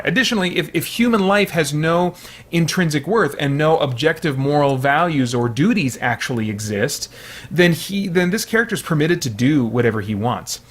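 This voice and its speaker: A man hosting a video essay, explaining in an informal, analytical way with frequent hedging. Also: medium pitch.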